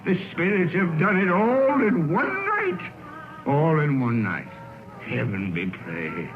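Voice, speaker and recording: Generous old ex-miser in 1930s radio drama: higher-pitched, theatrical, thin phone-like broadcast sound. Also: male voice, sing-song, takes it slowly, over music. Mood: giddy joy. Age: older. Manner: theatrical, swooping between cries, chuckles and murmurs. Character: old miser turned overjoyed, generous employer. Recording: tinny band-limited old radio broadcast.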